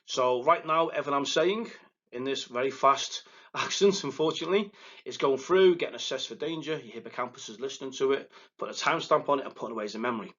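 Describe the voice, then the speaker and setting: Liverpool guy lecturing super fast, bit husky, keeps tripping over words, dull lo-fi sound. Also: mid-range voice. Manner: fast, excitable delivery with verbal stumbles and restarts. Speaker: psychotherapist giving a recorded lecture. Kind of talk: recorded lecture to counselling students. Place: presenting alone without a live audience.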